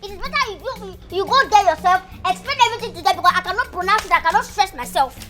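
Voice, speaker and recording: A woman's voice: very high voice, animated, racing, sharp tone, faint music behind, exaggerated voice. A woman playing a cheeky young house help who talks back, with a Nigerian accent. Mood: defiant. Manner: sassy, talks back, stumbles over words.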